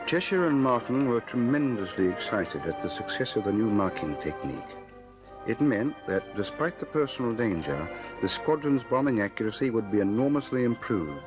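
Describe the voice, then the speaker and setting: A man's voice: fairly low pitch, low-quality recording. A man narrating a scripted war story as its author, in a vintage radio programme. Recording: vintage radio, music behind.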